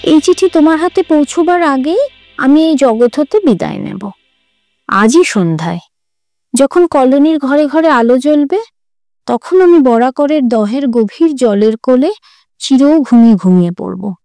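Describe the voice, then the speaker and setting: A woman's voice: high-pitched, lively intonation, measured pace, warm tone, no echo. A woman voicing a lovelorn, wounded young woman in an audio story, pleading dramatically over music. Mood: plaintive, melodramatic. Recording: music under the voice.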